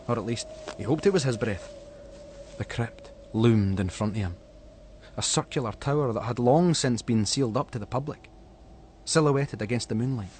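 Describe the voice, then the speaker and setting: A Scottish man narrating a ghost story in Scots dialect, with an expressive, suspenseful storytelling delivery. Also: medium pitch, a little hiss or hum. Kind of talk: dramatic scripted narration of a ghost story for schools radio.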